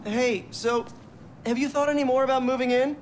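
A man's voice: very high-pitched, fairly even intonation, normal speed, a little hiss or hum. An actor playing an earnest, worried young American man in a video game, speaking hesitantly and sincerely. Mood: gentle, casual. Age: young adult. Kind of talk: naturalistic game cutscene acting.

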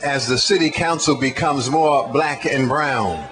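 A man in his sixties speaking deliberately and emphatically at an outdoor rally through a handheld microphone. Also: medium pitch, fairly bright tone. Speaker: activist speaker. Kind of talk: rally speech. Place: outdoor city street stage.

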